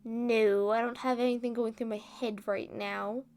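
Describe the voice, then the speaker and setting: A woman playing a rambling, self-deprecating roommate in a casual ASMR roleplay, talking in a halting, defensive, conversational way. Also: a little high, steady tone, darkish tone, faint music behind, close to an everyday voice. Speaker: audio roleplay performer and script writer.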